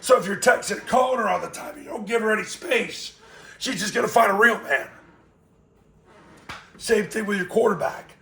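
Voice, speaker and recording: Raspy guy with a cold, coaching football, high voice, kinda echoey. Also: normal speed, long pauses, bright, forceful tone, slight background noise. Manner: blunt, emphatic coaching talk. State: hoarse from a cold. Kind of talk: coaching tips to camera.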